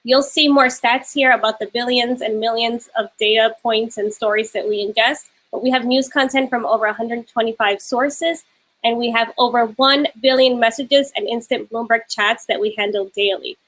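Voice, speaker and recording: Woman presenting corporate slides, higher voice. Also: steady tone, moderate pace, a bit bright, rough recording. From New York City. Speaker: panel host and moderator. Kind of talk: presenting slides, then moderating a video panel.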